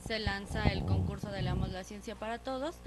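A woman's voice: mid-range voice, wildly swooping pitch, a little fast, over a noisy crowd. A young adult woman, a guest in a small studio TV interview, speaking into a handheld mic with some hesitation and fillers. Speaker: guest, contest organizer. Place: small TV studio set, indoors.

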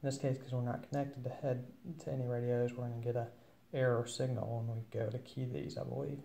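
A man giving an informal, matter-of-fact instructional walkthrough of equipment, with hesitant pauses. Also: mid-range voice, flat delivery, gravelly, mellow. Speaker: presenter of an equipment demo. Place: indoors at a wooden table at home.

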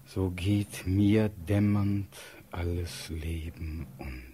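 An actor playing a dreamy, solemn young prince in a classical verse radio play, declaiming in breathy, rapt tones. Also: male voice, low-pitched, fairly even intonation, takes it slowly, mellow.

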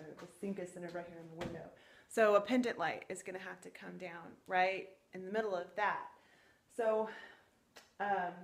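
A young woman talks through her home build in a loose, rambling way, pausing on fillers and small laughs. Her voice sits a little low for a woman. She holds the phone at arm's length, and faint background noise runs under her. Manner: chatty, thinking aloud with fillers and small laughs. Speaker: family vlogger. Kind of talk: casual home-building vlog. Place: house under construction and a back porch. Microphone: phone held at arm's length.

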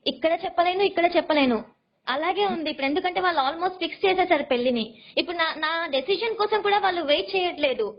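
A woman's voice: very high-pitched, fast. A young woman seeking advice, explaining her situation in an earnest, pleading way, recorded with dull sound. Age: young adult. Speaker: caller seeking relationship advice. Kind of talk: personal explanation in conversation.

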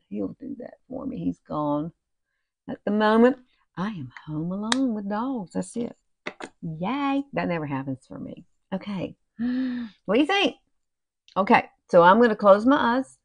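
A woman's voice: mid-range voice, no echo. A woman in her fifties with a Southern US accent, chatting casually and folksily as a beauty vlogger, as if to her girlfriends. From southern United States. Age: 50s. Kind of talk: casual chatty makeup tutorial. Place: small room at home, indoors.

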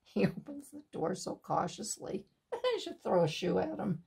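Slow, breathy woman in her sixties. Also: mid-range voice, wildly swooping pitch, a little mellow. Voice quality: breathy, sniffly. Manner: chatty, laughing asides, talking to herself. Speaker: craft channel host. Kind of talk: casual chat while making a collage. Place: home craft table, indoors. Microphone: overhead camera on the desk, hands only in frame.

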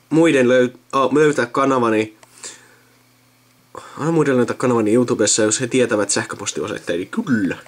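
Husky young Finnish man, lively intonation. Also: mid-range voice. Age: late teens, young adult. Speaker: video maker narrating an account sign-up. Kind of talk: casual chat, reading the screen aloud. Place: indoors at a computer. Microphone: handheld camera filming a monitor.

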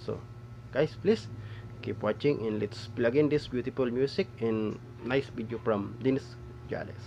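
A man in his thirties from the Philippines with a Filipino accent, hosting a casual music reaction video from a small room, enthusiastic and halting, with many fillers and repeated exclamations. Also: medium pitch, vocal fry, dark tone, highs cut off, slight background noise. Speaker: reaction channel host. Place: small indoor room with patterned cloth backdrop. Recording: faint music playing behind.

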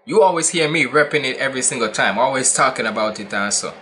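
A man's voice: medium pitch, lively intonation, fast talker, sharp tone. A man in his twenties from Jamaica with a Jamaican accent, giving casual, rambling, slangy commentary as a reaction video host in a room at home. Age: 20s. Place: small indoor room at home.

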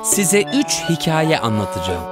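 A man's voice: fairly high pitch, wildly swooping pitch, unhurried. A man narrating a scripted children's animal story as the storyteller. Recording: music behind the voice. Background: background music.

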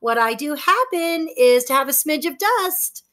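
A tiny, sweet-natured fairy girl, voiced by a woman reading a story, chirping along in a high, bubbly voice. She sounds eager and kind, every phrase lifting brightly. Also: animated, unhurried, exaggerated voice. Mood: cheerful.

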